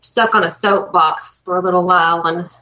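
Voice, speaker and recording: A woman's voice: fairly low pitch, steady tone, normal speed, sharp tone. A woman chatting casually as a guest performer, informal and self-deprecating. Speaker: guest voice performer and show host. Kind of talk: casual chat. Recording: dull, muffled call audio.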